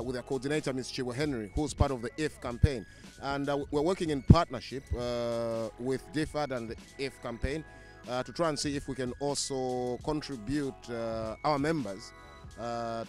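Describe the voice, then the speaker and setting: A man speaking as a football club's publicity secretary, formal, with frequent fillers. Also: mid-range voice. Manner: frequent uhs, formal phrasing.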